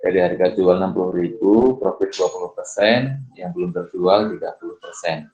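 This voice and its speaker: A middle-aged man with a very deep voice works through exam problems for his students. He moves unhurriedly, tagging his phrases with "ya" and stopping for "eh". The recording sounds dull and muffled. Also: a little mellow. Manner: unhurried, fills pauses with "ya" and "eh". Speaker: accounting lecturer.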